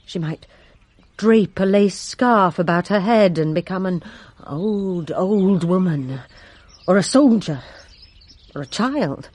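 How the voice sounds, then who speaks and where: A woman's voice: fairly low pitch, animated, slow, darkish tone, no room sound. A woman playing a servant giving formal, deferential testimony in a radio drama, composed yet resentful of a rival. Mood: measured recounting. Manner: formal, deferential, precise storytelling.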